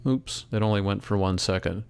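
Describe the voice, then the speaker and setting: A man narrating a software tutorial as an instructor, in a measured, step-by-step way. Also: on the low side, creaky. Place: close, dry voice-over for screen recording.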